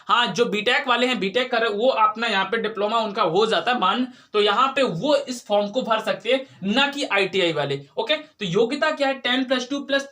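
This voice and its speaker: A man's voice: very high voice, bright, forceful tone. A man teaching in an informal explanatory way, repeating key points and answering his own questions. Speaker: exam coaching teacher.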